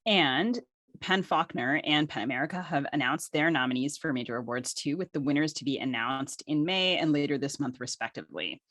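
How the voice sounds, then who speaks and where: A woman's voice: sits low, fairly quick, fairly bright tone, no room sound. A woman co-hosting a literary podcast, reading guest introductions and nominee lists with careful articulation. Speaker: podcast co-host.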